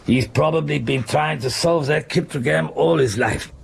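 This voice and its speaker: An actor playing an irascible, pompous older German professor in a radio drama, blustering and scornful with a German accent. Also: male voice, a little high, normal speed, creaky, husky, heavily acted. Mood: absorbed, gruff. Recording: faint background noise, 1990s radio broadcast.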